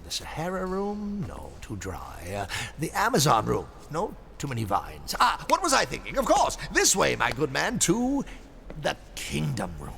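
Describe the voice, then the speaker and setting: Creaky, wildly theatrical eccentric gentleman. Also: a little high, wildly swooping pitch, moderate pace, slight background noise, full character voice. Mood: flustered, excited. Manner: theatrical, dithering, exclamatory. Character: eccentric, excitable, mysterious gentleman host named Finian. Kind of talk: audio drama acting.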